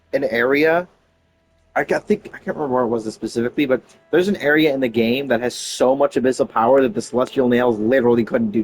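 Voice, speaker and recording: A man giving casual commentary on a game playthrough in a rambling, blunt, sweary way. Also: mid-range voice. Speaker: game streamer. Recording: faint background game noise.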